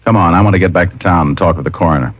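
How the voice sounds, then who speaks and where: An actor playing a wry, deadpan city private detective in an old-time radio drama. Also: male voice, sits low, very fast, background noise, natural voice. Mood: wry, probing. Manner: dry, deadpan wisecracking. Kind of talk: old-time radio detective acting, first-person narration. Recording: dull old radio recording with music.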